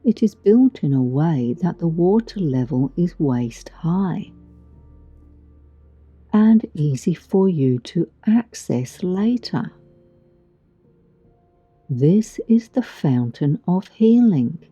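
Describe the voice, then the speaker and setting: Very mellow, slow-speaking woman guiding meditation. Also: lowish voice, expressive, slightly rough, no echo, dull audio. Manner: soothing, gentle, deliberate delivery with long pauses. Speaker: meditation guide. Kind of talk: guided meditation narration. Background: background music.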